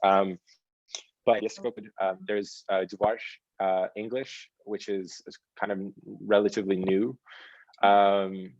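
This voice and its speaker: A man's voice: on the low side, flat delivery, slow, a little mellow, no room sound, muffled recording. A man on a panel video call who talks thoughtfully and searches for his words. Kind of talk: casual panel discussion.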